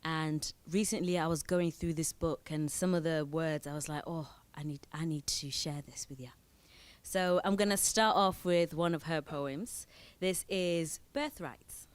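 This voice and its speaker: A woman from Zimbabwe reads poetry on a stage mic, taking her time over every line. Her voice sits fairly low and comes across smooth and clear. The sound is close and dry, with little room around it. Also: measured pace, no echo. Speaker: spoken word performer. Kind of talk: poetry reading with spoken introductions. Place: indoor event venue.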